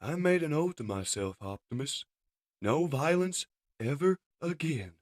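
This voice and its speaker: A man playing a gentle pacifist robot in a comic dub, calm, sincere and firm. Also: fairly high pitch, measured pace, no room sound, clean studio sound. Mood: resolute. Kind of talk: comic dub character acting.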